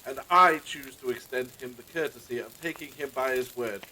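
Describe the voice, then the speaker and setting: A man's voice: mid-range voice, moderate pace, pushed and bright, poor audio. A man playing a courteous, genteel master of the house in a period audio drama, formal and politely apologetic. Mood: gracious, formal.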